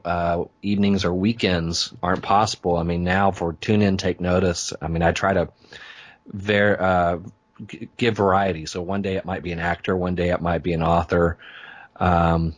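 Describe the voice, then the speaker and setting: A man who hosts podcasts, chatting informally and telling anecdotes in a casual interview. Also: low-pitched. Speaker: podcast host being interviewed.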